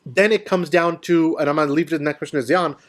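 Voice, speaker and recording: A man's voice: on the high side, fairly even intonation, fast talker. A man hosting a casual film discussion, explaining his view and putting questions to the panel. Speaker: host of a film discussion show.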